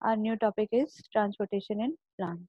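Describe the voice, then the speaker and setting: A woman's voice: medium pitch, normal speed, dry recording, dull audio. A woman teacher giving an online biology lecture, explaining step by step and often repeating or restarting words.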